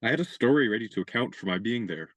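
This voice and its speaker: An actor playing a genial, gallant young gentleman adventurer in a radio play, courteous and wry in measured speech. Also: mid-range voice, lively intonation.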